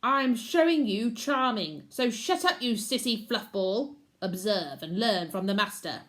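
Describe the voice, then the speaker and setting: A greedy, bullying wolf uncle growls out his boasts slowly and loudly, as a woman reading the storybook puts him on. His voice rises above the reader's own, heavy with bluster. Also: medium pitch, expressive. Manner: loud growling bluster, slow and drawn out.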